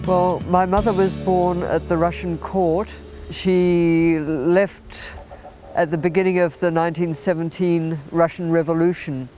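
An older woman author telling her story in a documentary interview, in a formal, measured way. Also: lowish voice, a little mellow, clean tone. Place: outdoors beside a house.

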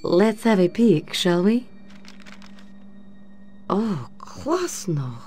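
A woman's voice: medium pitch, very animated, extremely slow, darkish tone, slight background noise. An actress playing a flirtatious female Soviet agent in a video game. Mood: businesslike. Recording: game audio with faint music.

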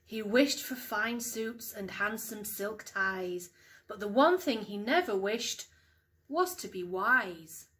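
A middle-aged woman reads a picture book to young children, expressively and deliberately, stressing key words, in a room at home. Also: mid-range voice, lively intonation, a bit bright. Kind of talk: storybook read-aloud for young children. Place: small living room at home.

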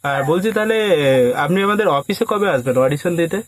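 Higher-voiced man coaxing on a prank call. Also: animated, moderate pace, no room sound. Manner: coaxing, instructing. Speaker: prank caller posing as a film casting agent. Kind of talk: prank phone call, casual persuasive talk.

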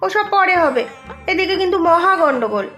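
A woman's voice: extremely high pitch, animated, fairly quick, pushed and bright, soft background music, exaggerated voice. A woman voicing a simple-minded, bewildered housewife and mother in a cartoon, full of exclaimed questions. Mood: worried. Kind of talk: animated cartoon dialogue.

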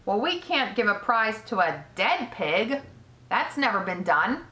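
A woman voicing a pompous male fair announcer from a storybook, giving a ceremonious, emphatic loudspeaker speech. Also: medium pitch, very animated, a little slow, reverberant. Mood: concerned, blustering.

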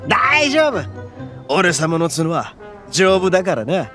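A swaggering horned oni gang boss. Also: male voice, sits high, very animated, slow pace, fairly bright tone, full character voice. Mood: fond. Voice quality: rough, swaggering, calls himself grandly. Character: brash boastful horned oni gang boss, male adult. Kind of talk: Japanese game voice acting. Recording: game audio with faint music underneath.

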